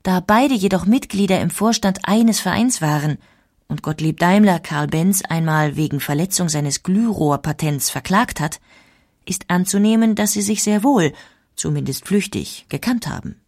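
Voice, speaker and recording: A woman's voice: fairly low pitch, lively intonation, normal speed, a little mellow. A woman narrating a scripted documentary.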